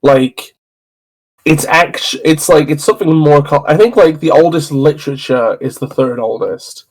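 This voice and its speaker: Welsh man chatting over a game, slightly high. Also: unhurried, poor audio. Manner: chatty, stammering restarts, musing aloud. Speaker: streamer. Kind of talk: casual chat while gaming.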